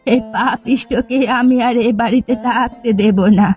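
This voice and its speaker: A woman playing a jealous, accusing elderly village mother-in-law in a melodramatic cartoon, with slow, emphatic delivery. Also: a little high, fairly even intonation, darkish tone, soft background music, close to an everyday voice. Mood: angry, accusing. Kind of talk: melodramatic cartoon family comedy acting.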